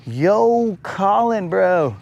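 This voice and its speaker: A man's voice: high-pitched, expressive, drawn-out words, vocal fry, darkish tone, highs cut off. A man hosting a live fishing stream outdoors on a lake. He chats casually, reads viewer comments aloud and often says "bro". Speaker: fishing livestream host.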